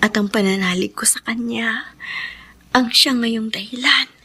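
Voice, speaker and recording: An actress playing a happy, devout wife, tender and solemn, in a Filipino radio drama with a Filipino accent. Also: female voice, on the high side, unhurried, pushed and bright, slightly rough, no room sound, full character voice. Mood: hopeful. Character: happy, devout young wife full of hope.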